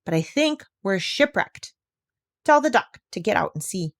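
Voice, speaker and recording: A woman voicing a shrewd, bossy old female parrot who gives brisk orders in a storybook. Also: mid-range voice, sing-song. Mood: worried. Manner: brisk and commanding.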